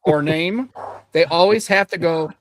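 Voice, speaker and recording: A man's voice: fairly high pitch, unhurried. A man hosting a casual tech podcast, rambling and joking, sometimes mimicking how others pronounce words. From United States. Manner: rambling, mock-imitates accents. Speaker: podcast host. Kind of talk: casual panel chat.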